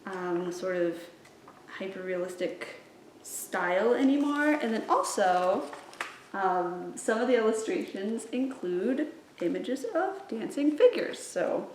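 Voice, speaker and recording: A woman hosting a casual book recommendation video as a children's librarian, speaking in an enthusiastic, expressive way. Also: medium pitch, slow pace. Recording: echoey room with faint background noise.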